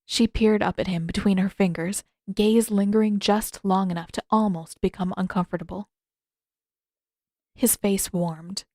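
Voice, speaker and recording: Female audiobook narrator, scripted storytelling, medium pitch, dry close recording. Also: fairly even intonation, a little slow, darkish tone, no room sound.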